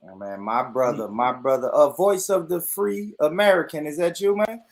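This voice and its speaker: Slangy guy hosting a panel stream, kinda high voice, chill and loose. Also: normal speed. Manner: loose, slangy, asks questions. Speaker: host. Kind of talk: casual panel talk.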